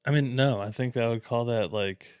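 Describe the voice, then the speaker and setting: A man's voice: mid-range voice, normal speed, darkish tone, clear, resonant tone, dry recording, studio quality. A North American man co-hosting a casual chat show, talking in a laid-back way with wry interjections. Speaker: podcast co-host.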